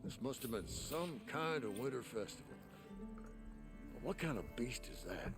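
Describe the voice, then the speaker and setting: An actor playing a hearty old wordsmith in a video game, telling things in a grandiose, theatrical, gravelly voice. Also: male voice, medium pitch, lively intonation, normal speed, close to an everyday voice. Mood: curious, jovial. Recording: music behind the voice.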